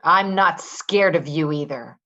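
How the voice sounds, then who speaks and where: A woman's voice: low-pitched, a little slow, bright, forceful tone, lo-fi sound. A woman acting a tough, wheedling, manipulative caregiver in a play reading, pushy and slangy. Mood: menacing.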